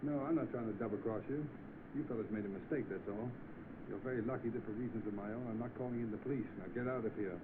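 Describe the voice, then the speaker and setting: A cool, wry gentleman acted by a man in a 1930s film. He speaks at a middle pitch, dryly polite and never flustered. The soundtrack is thin, with clear background noise. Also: fast, natural voice. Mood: cool, assured. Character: cool, wry wealthy gentleman posing as accomplice. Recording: noisy, tinny old film soundtrack.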